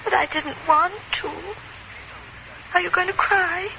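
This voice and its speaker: A woman playing a deranged, murderous wife, sweet on the surface and childlike, in slow, theatrical 1950s radio horror style on a muffled old recording. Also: extremely high pitch, fairly even intonation, a little hiss or hum. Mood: unhinged, grieving. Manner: theatrical, slow, plaintive delivery.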